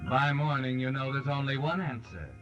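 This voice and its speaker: A man's voice: mid-range voice, steady tone, normal speed, a bit bright, lightly acted. An actor playing a sinister, knowing male storyteller in 1940s radio mystery style, mocking and insinuating. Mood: knowing, ominous. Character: sinister, knowing male storyteller addressing his doomed subject. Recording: dull 1940s radio, music behind.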